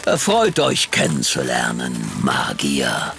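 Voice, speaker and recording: An actor playing an eccentric, urgent character from a magical otherworld in a fantasy audio drama, theatrical and gravelly. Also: male voice, rumbling low, wildly swooping pitch, slow, pushed and bright, heavily acted. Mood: formal greeting. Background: music.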